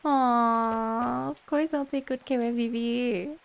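A woman's voice: a little high, fairly even intonation, takes it slowly, vocal fry, clean tone. A young woman chatting casually and gigglily with viewers as she streams a game on a close microphone. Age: young adult. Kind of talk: casual livestream gameplay chat. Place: indoor room, streaming setup. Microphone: boom-arm microphone close up. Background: faint game music.

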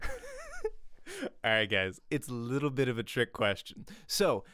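A man's voice: mid-range voice, unhurried, pushed and bright, clear, resonant tone, dry recording. A man in his thirties giving a casual, conversational livestream lesson as an acting teacher, close on a studio microphone in a small room. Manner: conversational, checks in often with "right?". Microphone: close studio microphone with pop filter, headphones.

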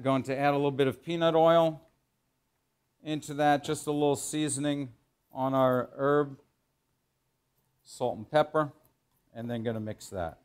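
A middle-aged man, a chef teaching in a kitchen, explaining as he works in an informal, halting way and repeating phrases for emphasis. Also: mid-range voice, darkish tone. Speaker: chef giving a cooking demonstration. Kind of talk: instructional cooking demo, explaining while working. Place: indoor professional teaching kitchen.

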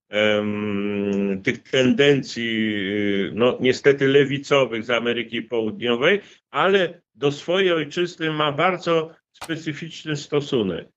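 A man's voice: medium pitch, a bit bright. A middle-aged man, a priest, giving grave, hesitant commentary over a video call, with many fillers. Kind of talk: remote interview commentary. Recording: dull lo-fi video call audio.

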